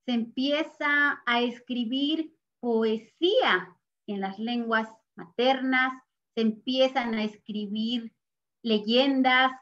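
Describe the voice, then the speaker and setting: An older Mexican woman, a native Nahuatl speaker, speaks with a Mexican Spanish accent in a slightly raised voice. She talks very slowly and earnestly, pausing often as she looks back on her life. The recording sounds muffled and lo-fi. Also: high voice. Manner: measured and earnest, pausing with soft fillers. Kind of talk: slow, reflective interview testimony.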